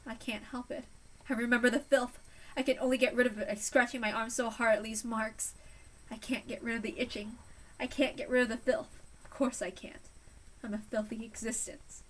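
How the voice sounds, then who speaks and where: A woman voicing a tormented, self-loathing female villain in a hushed, anguished, deliberate read-aloud of a visual novel. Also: on the high side, fairly even intonation, distant mic, lightly acted.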